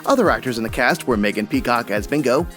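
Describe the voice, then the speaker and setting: A man's voice: mid-range voice, animated, fast, a bit bright, faint music behind. A man working as announcer, reading scripted show credits.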